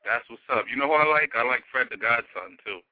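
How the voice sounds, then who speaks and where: A man hosting a casual radio interview, relaxed and agreeable, asking loose questions. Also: mid-range voice, fast talker, dry recording, phone-quality sound. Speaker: radio host.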